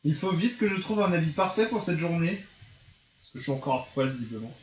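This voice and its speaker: A slightly high-pitched male streamer reads visual novel text aloud in a theatrical, playful way, tripping over the odd line. The sound is dull and roomy, with faint music underneath. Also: expressive, brisk, slight background noise. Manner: theatrical, stumbles over lines. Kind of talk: reading game dialogue and narration aloud in a playful let's play.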